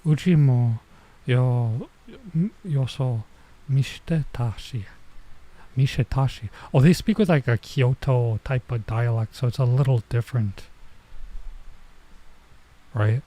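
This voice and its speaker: A man doing casual let's-play commentary as a streamer, thinking aloud in a halting way and mixing in Japanese phrases. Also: mid-range voice, dark tone, poor audio.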